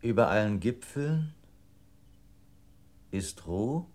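An actor playing an obedient computer unit in a radio play, reciting in a formal, measured and exact way. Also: male voice, lowish voice, big pitch swings, darkish tone.

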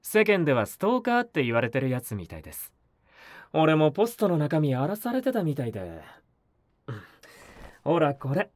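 A woman voicing a polite, mild-mannered young male neighbour in an audio drama, speaking softly and conversationally to the listener. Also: deep voice, sing-song, moderate pace, pauses often, no room sound. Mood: casual, unbothered. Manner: polite, soft-spoken, conversational. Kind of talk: Japanese audio drama acting, spoken to the listener.

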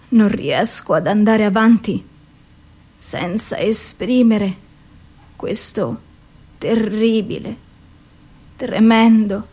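A depressed woman, bitter and worn down, speaks in a radio play. Her voice sits fairly high, and she lets each phrase fall slowly with long, heavy pauses. The delivery stays intense and raw throughout. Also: mellow, husky. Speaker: radio drama actress. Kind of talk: radio theatre monologue.

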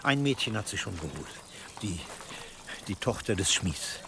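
An actor playing a nervous, obsequious cleric in a medieval radio drama, deferential, hesitant and stammering under pressure. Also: male voice, on the low side, animated, moderate pace, creaky, background noise. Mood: anxious, pleading. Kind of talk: German radio drama acting in a medieval setting.

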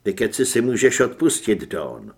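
A big, blunt audiobook hero, voiced by a male narrator. He talks in a gruff, husky voice, plain and self-mocking. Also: fairly low pitch, big pitch swings, voice pushed down, exaggerated voice. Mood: grumbling.

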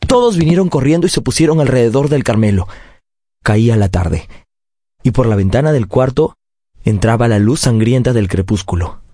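An actor narrating a radio drama as a grown man recounting his childhood, in a measured, solemn and tender way. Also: mid-range voice, animated, dark tone, dry recording, studio quality, lightly acted. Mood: solemn, wistful.

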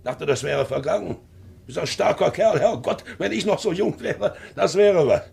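A man's voice: high voice, lively intonation, moderate pace, vocal fry, a little mellow, breathy edge, exaggerated voice. An actor playing a proud, blustering, middle-aged sea captain and domineering father in a 1950s radio drama. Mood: scornful, blustering. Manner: blustering, mocking, commanding.

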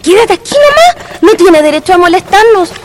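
A woman's voice: very high voice, big pitch swings, moderate pace, background noise. A woman playing a perky, bossy, encouraging young Chilean girl in a radio drama. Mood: teasing, firm. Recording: music under the voice.

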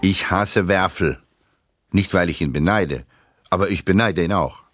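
An actor playing sardonic men of letters, reciting literary jibes for radio in a deliberate, dry manner. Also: male voice, low voice, a bit bright, no room sound, soft background music.

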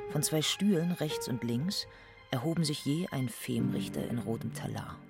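A man reading literary narration in a radio play. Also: mid-range voice.

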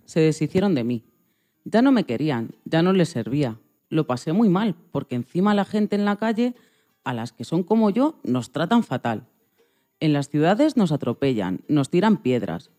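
A man's voice: on the high side, sing-song, moderate pace, dark tone, soft background music. A man voicing a rescued bird telling its sad life story in a scripted first-person reading. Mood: sorrowful.

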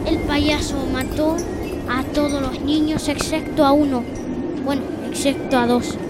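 A high female voice tells an improvised horror story at a slow pace. The delivery is halting, with phrases repeated and corrected along the way. Loud music plays under the narration. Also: fairly even intonation. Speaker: student storyteller in a radio theatre workshop.

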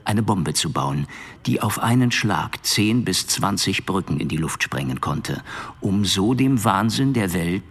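A man narrating a scripted audio drama, dry and deliberate, with dramatic pauses. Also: low voice, animated, a bit bright, slightly rough.

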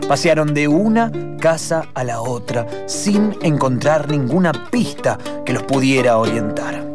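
A man's voice: medium pitch, expressive, unhurried. A man delivering dramatic narration for improvised radio theatre. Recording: guitar music clearly behind.

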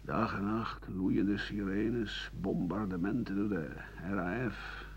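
An actor playing a bitter, aging exiled writer-doctor in a radio drama monologue, sardonic and halting, often sinking to a husky mutter. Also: male voice, lowish voice, fairly even intonation, a little hiss or hum. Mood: bitter, weary. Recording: muffled 1980 radio broadcast.

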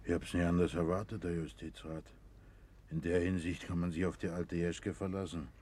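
A man's voice: low-pitched, little pitch movement, normal speed, a little mellow, breathy edge. An actor playing an impatient, officious subordinate in a German radio drama, curt but deferential to his superior. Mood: dry, scornful.

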